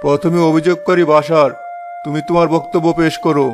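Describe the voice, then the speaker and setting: A man's voice: a little high, level tone, brisk, over music, natural voice. A man voicing a fair village judge in a cartoon tale, questioning formally and with composure. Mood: calm, stern. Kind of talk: cartoon story voice acting.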